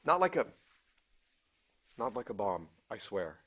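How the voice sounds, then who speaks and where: A man's voice: fairly low pitch, sing-song, measured pace, a bit bright, heavily acted. An actor playing an earnest man on the run in an audio drama, persuasive, tentative and questioning. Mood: pleading. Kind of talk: naturalistic audio drama dialogue.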